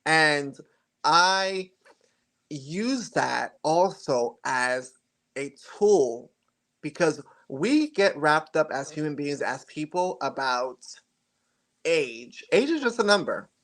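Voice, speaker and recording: A man's voice: a little high, expressive, slow pace, a bit bright. Earnest man in his forties. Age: 40s. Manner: earnest and reflective, with occasional laughs and restarts. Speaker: guest, model. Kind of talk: casual interview chat.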